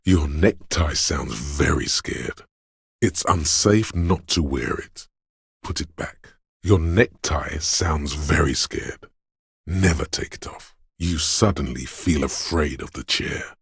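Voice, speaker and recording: An actor playing a menacing, paranoid inner voice of fear and aggression in a game, narrating slowly and ominously in a husky, gravelly voice. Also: male voice, very deep voice, no echo, studio quality, exaggerated voice. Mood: wary, paranoid. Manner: ominous, slow, deliberate delivery. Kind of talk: dramatic second-person game narration.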